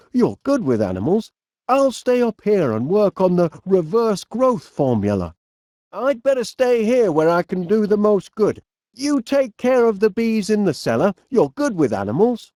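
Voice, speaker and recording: A man's voice: sits high, no room sound, clean studio sound, exaggerated voice. A man voicing a cheerful, fussy, bumbling middle-aged inventor with a Northern English accent and a chummy, dithering manner, for a comedy video game. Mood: earnest, sheepish. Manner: chummy, dithering, expressive, drawn-out delivery. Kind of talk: animated comedy game voice acting.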